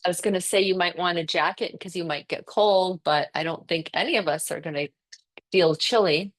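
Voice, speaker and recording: A woman yoga instructor leading breathing and relaxation practice in a gentle, unhurried way, leaving pauses between instructions. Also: fairly low pitch.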